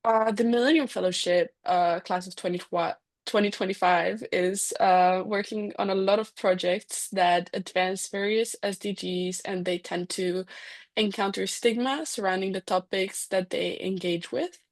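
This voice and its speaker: A woman with a mid-pitched voice hosts a webinar, politely asking questions and pausing on "uh" and "um". The recording is dry, coming through a video call. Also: fairly even intonation, no room sound. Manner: polite, hesitant with "uh" and "um". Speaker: webinar host. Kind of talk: interview questions.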